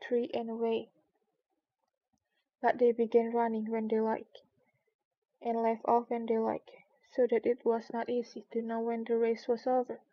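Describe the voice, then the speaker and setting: Casting: female storybook narrator, very even high voice with creak, careful reading, slightly dull recording. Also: no echo. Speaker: streamer reading books aloud.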